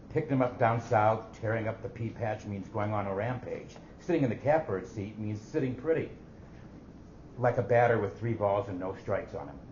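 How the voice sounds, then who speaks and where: A man's voice: on the low side, darkish tone, highs cut off, close to an everyday voice. Man acting junior office assistant, keen, explanatory, stage drama, roomy hall sound, faint noise. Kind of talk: staged dramatic reading of a short story.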